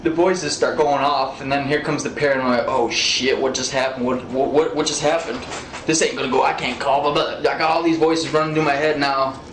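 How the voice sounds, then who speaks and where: A man's voice: medium pitch, fairly even intonation, fairly quick, few pauses. An American man in his thirties answering questions in a police interview, talking informally and rambling, with some swearing. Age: 30s. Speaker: suspect being questioned by police. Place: indoor police interview room. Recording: echoey room, noisy interrogation audio.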